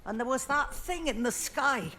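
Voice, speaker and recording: An actress playing an elderly, sharp-tongued, mournful English village widow in a naturalistic video game drama. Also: female voice, high voice, animated, normal speed, slight background noise. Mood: weary, puzzled. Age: older.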